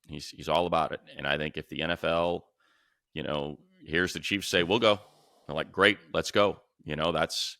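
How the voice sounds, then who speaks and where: A man's voice: extremely low pitch, fairly even intonation, moderate pace, sharp tone, dry recording, clean studio sound. An American man co-hosting a sports podcast, giving emphatic, slangy opinions in a casual way. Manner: emphatic, slangy, repeats phrases. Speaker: sports podcast co-host, announcer.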